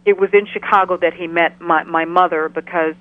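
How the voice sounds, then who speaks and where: A middle-aged woman who grew up in Ghana, answering interview questions over the telephone in a measured, thoughtful way, often restarting her sentences. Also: fairly low pitch, steady tone, no echo. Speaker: interview guest, biochemistry professor. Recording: tinny phone line, dry.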